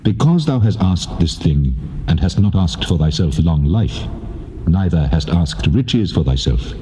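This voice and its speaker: A man's voice: low voice, big pitch swings, a little slow, dark tone. A man playing God speaking in a dream, solemn, majestic and weighty, in a dramatized scripture reading.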